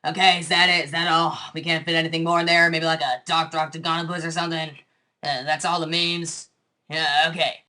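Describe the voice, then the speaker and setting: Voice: medium pitch, little pitch movement, a little fast, sharp tone, close to an everyday voice. An actor voicing a cynical, exasperated cartoon man who rants sarcastically in a comedic web series. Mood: sarcastic. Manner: sarcastic, ranting.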